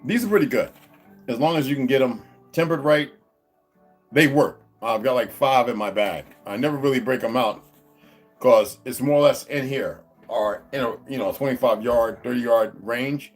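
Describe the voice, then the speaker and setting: A man speaking at a middle pitch rambles on to his viewers from his room at home, stopping and restarting his sentences. Some soft guitar music plays faintly behind him. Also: moderate pace. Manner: meandering, restarts, talks to his dogs in a high coaxing voice. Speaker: vlogger. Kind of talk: rambling casual vlog to viewers. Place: indoors at home.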